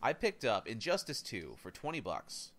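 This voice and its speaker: A man co-hosting a casual gaming podcast, rambling excitedly and thinking out loud as he adds up prices. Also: mid-range voice, normal speed. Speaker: podcast co-host.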